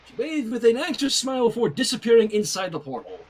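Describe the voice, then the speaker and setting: Male fan fiction narrator, wry and very lively, slightly husky, breaks off to comment. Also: very high-pitched, quick pace. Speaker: story reader. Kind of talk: fan fiction reading with casual asides.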